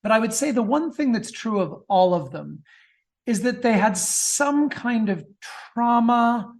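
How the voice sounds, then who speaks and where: Man talking haltingly as an interview guest. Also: high-pitched. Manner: halting, repeats words while thinking aloud. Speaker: guest author. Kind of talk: conversational interview answers.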